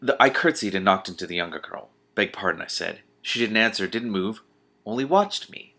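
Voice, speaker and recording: Expressive, bright-voiced man reading aloud. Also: on the low side, brisk. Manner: expressive, breaks off to react in disbelief. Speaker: online reader who comments on books. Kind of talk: reading a novel aloud with exclaimed commentary.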